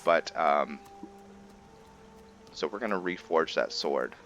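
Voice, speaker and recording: A man's voice: a bass voice, slow pace, fairly bright tone, faint music behind. A man giving casual let's play commentary, musing and explaining as he goes. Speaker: host of a gaming roleplay series.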